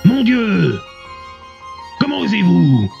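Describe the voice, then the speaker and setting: A man playing a smug, theatrical male radio debate host in an audio drama, pompous and emphatic, with a husky, gravelly voice through a radio filter. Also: high-pitched, wildly swooping pitch, slow, voice pushed up, heavily acted. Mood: indignant. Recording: muffled radio broadcast sound, music behind.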